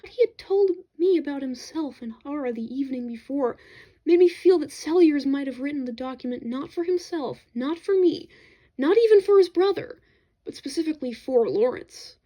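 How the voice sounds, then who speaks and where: A woman reads fiction aloud in a high, mellow voice. Her delivery is that of expressive literary narration, shaping each descriptive sentence as a storyteller would. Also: moderate pace. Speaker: audiobook-style reader of fiction.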